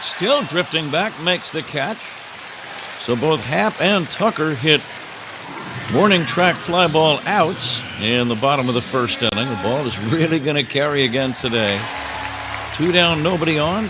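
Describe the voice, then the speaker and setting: A man calling baseball play-by-play live on the radio as the announcer. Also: on the high side. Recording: crowd roar and steady stadium noise. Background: ballpark crowd.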